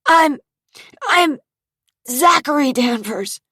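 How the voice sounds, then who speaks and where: Audiobook hero in trouble: woman reader playing a desperate man, high strained shouts, dry sound. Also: animated, takes it slowly, dry recording, full character voice. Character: panicked young man in pain.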